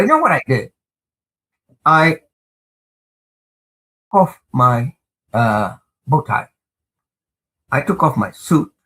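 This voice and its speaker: Measured older man, Spanish native, halting. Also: a little high, fairly bright tone, low-quality recording. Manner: measured, frequent "uh" pauses, emphatic. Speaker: guest, international lawyer. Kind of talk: interview answers, recounting experiences.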